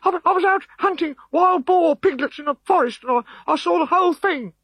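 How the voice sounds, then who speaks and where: A small, eager storybook boy gasps out his news in a very high voice. He stumbles over his words, out of breath. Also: fairly quick, fairly bright tone, dry recording. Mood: breathless. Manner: breathless, stammering.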